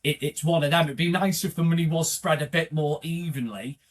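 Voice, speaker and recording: North welsh bloke, fairly high voice, jokey and chatty, jumps in a lot. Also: male voice, fairly quick. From North Wales. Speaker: indie filmmaker guest. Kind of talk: casual podcast interview.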